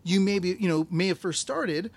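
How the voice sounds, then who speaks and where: A man with a notably high voice hosts a casual health podcast. He talks in an upbeat, salesy way, leaning on "like" and "you know" as he goes. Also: animated, normal speed. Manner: upbeat, filler-heavy, salesy. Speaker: podcast host. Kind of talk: casual interview and promo.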